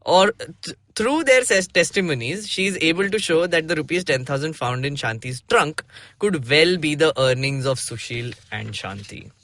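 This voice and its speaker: A man's voice: fairly high pitch, normal speed, a bit bright, dry recording. A man with an Indian accent hosting a casual podcast, reading a comic theatrically and breaking off for playful asides. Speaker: podcast host.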